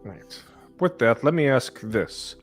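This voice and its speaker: A man's voice: mid-range voice, animated, slow pace, soft background music. A man hosting a podcast, casually moderating a discussion between guests. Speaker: podcast host.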